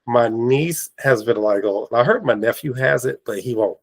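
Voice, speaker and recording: A man hosting a casual podcast chat, his voice raspy from allergies, telling lively stories and acting out quoted remarks. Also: mid-range voice, moderate pace. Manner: animated storyteller, acts out quoted exchanges. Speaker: podcast host. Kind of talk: casual conversation.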